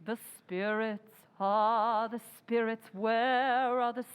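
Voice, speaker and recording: Woman acting imperious high-voiced society hostess. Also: steady tone, takes it slowly, clear, resonant tone, lo-fi sound, soft background music. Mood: bossy, fussy. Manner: imperious, theatrical, grandly intoning. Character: bossy, pompous society hostess playing amateur medium. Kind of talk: old-time radio drama acting. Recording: echoey hall, live audience behind.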